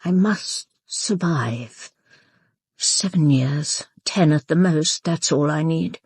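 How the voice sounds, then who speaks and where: An actress playing a proud, grieving aristocratic woman in her eighties in a radio drama, with an upper-class British accent and a measured, clipped, formal manner. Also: low voice, darkish tone, husky, dry recording, studio quality, full character voice. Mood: grieving, resolute. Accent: upper-class British English. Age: 80s. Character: proud, grieving elderly aristocratic mother, stoic and imperious. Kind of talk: radio drama with first-person narration.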